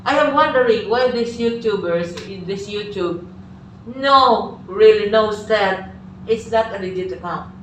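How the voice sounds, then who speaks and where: A woman's voice: mid-range voice, expressive, measured pace, recorded from a distance, slight background noise. An older Filipino teacher, a woman with a Filipino accent, giving an earnest classroom lecture and acting out quoted dialogue. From Philippines. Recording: echoey room recorded from afar.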